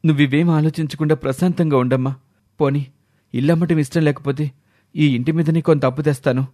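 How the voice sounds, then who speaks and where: A man's voice: on the high side, steady tone, brisk, dark tone, close to an everyday voice. A man playing a dutiful young son, earnest and resolute, in a dramatised moral story. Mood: earnest, reassuring.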